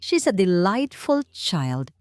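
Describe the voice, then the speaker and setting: A woman with a Filipino accent voicing a gentle, kindly young woman in an audiobook story. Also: mid-range voice, sing-song, slow pace, no echo, clean studio sound. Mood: polite. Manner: soft-spoken, gentle. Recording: music bed under the voice.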